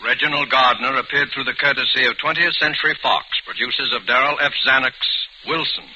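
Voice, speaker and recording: A man's voice: mid-range voice, fairly quick, sharp tone, a little hiss or hum. A man giving scripted 1940s radio program announcements in an emphatic, declamatory style. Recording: dull 1940s radio broadcast.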